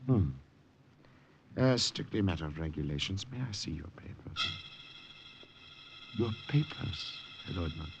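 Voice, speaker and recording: An actor playing a suave, menacing Nazi commandant with oily politeness and knowing chuckles, in 1950s radio drama style. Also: male voice, sits low, expressive, normal speed, vocal fry, pauses often. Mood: probing, suspicious. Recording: noisy old 1950 radio broadcast.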